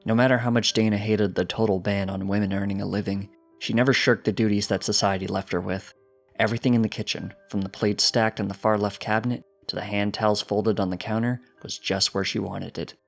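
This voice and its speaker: A man narrates fiction from a script in a fairly low voice. Music plays quietly behind his reading. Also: steady tone, brisk, creaky.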